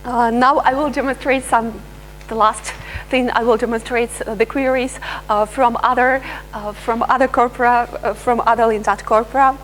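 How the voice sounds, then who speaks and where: A woman researcher giving a live software demonstration, pausing often with fillers and restarting her sentences. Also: fairly high pitch, fairly even intonation, a little hiss or hum, poor audio. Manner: hesitant, many filler sounds and restarts. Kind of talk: live software demo talk.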